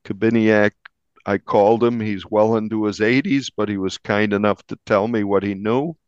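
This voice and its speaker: An American man speaks in a fairly low voice, recounting his reporting in a conversational interview style. He sounds like a journalist being interviewed. The recording is dry and a little dull. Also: fairly even intonation, moderate pace, clean tone, no room sound. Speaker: investigative newspaper reporter, interviewee.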